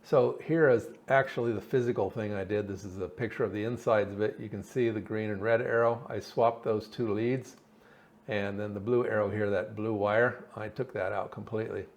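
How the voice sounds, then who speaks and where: Man, lowish pitch, hobbyist presenter, informal technical walkthrough, halting delivery, thinking aloud. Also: steady tone, creaky. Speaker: hobbyist presenter explaining his radio build. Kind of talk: informal technical explanation over slides and diagrams.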